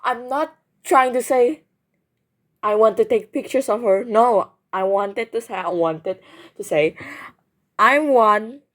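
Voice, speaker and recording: A woman's voice: a little high, slow pace, pauses often. A young woman giving casual gameplay commentary as a streamer, rambling and repeating herself playfully. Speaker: game streamer. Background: game music.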